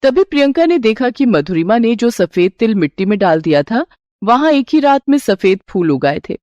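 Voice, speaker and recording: A woman's voice: on the high side, very animated, normal speed, a little mellow, no echo. A woman narrating a scripted Hindi moral bedtime story as a storyteller.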